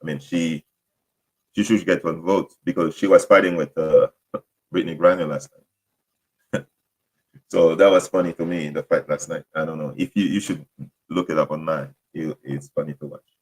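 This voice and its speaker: Deep-voiced man, French and Haitian Creole first languages. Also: steady tone, moderate pace, pauses often, breathy edge, rough recording. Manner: rambling, self-correcting, apologetic. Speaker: podcast host. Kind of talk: casual solo sports talk.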